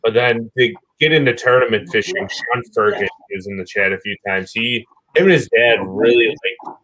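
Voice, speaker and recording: A man's voice: mid-range voice, lively intonation, normal speed, fairly bright tone, low-quality recording. A man in casual podcast conversation, informal and given to teasing.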